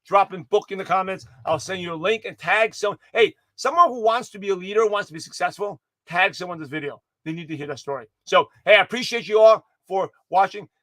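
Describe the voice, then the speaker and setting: A man giving an energetic livestream pep talk to his viewers, his voice hoarse and slightly raspy, rambling with many restarts and self-corrections. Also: high-pitched, quick pace, hardly any pauses, no room sound. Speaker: motivational speaker giving a daily pep talk.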